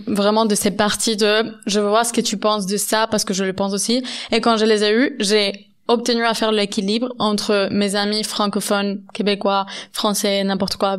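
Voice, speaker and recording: Woman, smooth clear voice. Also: mid-range voice. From Catalonia, Spain. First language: Catalan. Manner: earnest, laughs often, searches for words in French. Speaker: podcast host. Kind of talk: casual conversation.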